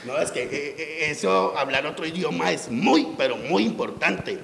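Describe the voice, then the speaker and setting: Man voicing gravelly chuckling old grandpa puppet. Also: a little high, expressive, normal speed, crowd noise. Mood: jovial. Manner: chuckling, sprinkles English words into Spanish. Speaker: puppet co-presenter. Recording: echoey room.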